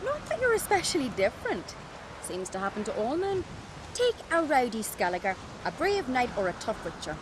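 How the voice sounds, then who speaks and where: A woman playing a frank, self-assured island woman in a fantasy video game, speaking in a measured way. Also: a little high, background noise, close to an everyday voice.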